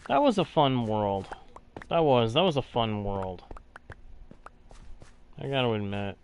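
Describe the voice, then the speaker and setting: Male let's play host, mid-pitched and expressive, folksy off-the-cuff chatter, slightly noisy recording with clicks. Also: unhurried. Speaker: game streamer hosting a let's play. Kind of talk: casual gaming chat, thinking aloud.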